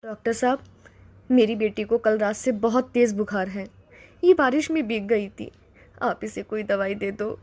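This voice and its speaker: A woman playing an anxious poor mother with a pleading, respectful manner in an animated story. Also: mid-range voice, normal speed, no room sound, close to an everyday voice. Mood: worried, pleading.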